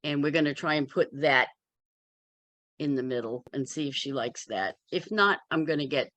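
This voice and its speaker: A woman's voice: deep voice, moderate pace, fairly bright tone, no echo. A woman chatting casually in a group as an author, with a candid, wry way of talking. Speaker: memoir author in a community discussion.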